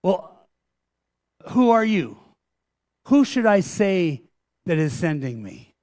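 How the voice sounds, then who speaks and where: A pastor voicing an eighty-year-old Moses who protests and pleads against being sent. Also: male voice, high-pitched, very animated, slow, a little mellow, dry recording, clean studio sound, full character voice. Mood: uncertain.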